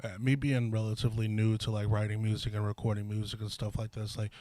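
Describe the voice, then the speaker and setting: A rapper with a low voice chatting casually and curiously, rambling with many fillers. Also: male voice, little pitch movement, fast talker, no room sound. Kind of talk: casual studio chat. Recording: loud music playing behind.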